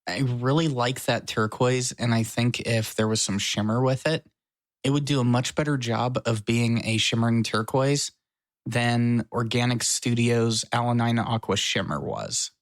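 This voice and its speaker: A man's voice: mid-range voice, a little slow, no echo. Joking man, dry close sound. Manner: joking, self-mocking. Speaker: podcast co-host. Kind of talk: casual chat. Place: his apartment.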